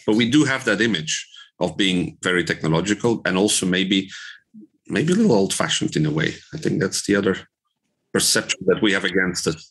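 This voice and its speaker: Deep voiced guy from belgium, 50s, chatting as an interview guest. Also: lively intonation, a little fast, a bit bright, slightly rough. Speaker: interview guest, trade association director. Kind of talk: conversational podcast interview.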